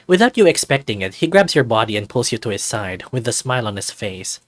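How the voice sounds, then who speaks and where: A narrator reading a visual novel aloud in an expressive, playful way, with bits of casual commentary. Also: medium pitch, brisk, no echo. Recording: dry recording with music behind.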